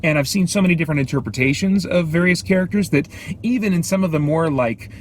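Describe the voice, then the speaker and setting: A man giving a casual, off-the-cuff film review, thinking aloud in a halting, measured way. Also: fairly high pitch, a little hiss or hum. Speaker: movie review host.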